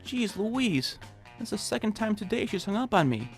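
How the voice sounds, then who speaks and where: A man's voice: high voice, sing-song, normal speed, faint music behind. A man in an audio drama playing a timid, eager, put-upon clerk, hesitant and a little whiny. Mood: defensive.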